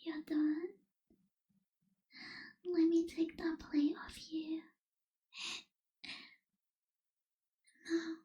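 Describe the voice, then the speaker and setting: Casting: actress for melancholy young female vampire, ASMR roleplay; very high, mellow, breathy whisper, wistful and hushed. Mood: gentle, attentive. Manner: slow, hushed, wistful, gentle pauses. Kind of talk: ASMR roleplay, soft whispered intimate delivery.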